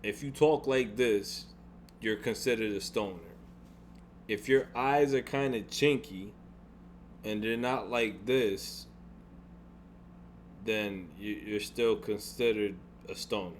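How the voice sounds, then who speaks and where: A young man with a deep voice vents in a home-made gaming vlog. He rambles, repeats himself and swears, and a faint electrical hum sits under his speech. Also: takes it slowly, long pauses. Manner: frustrated, rambling with frequent restarts and swearing. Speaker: gaming YouTuber. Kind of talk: casual venting vlog.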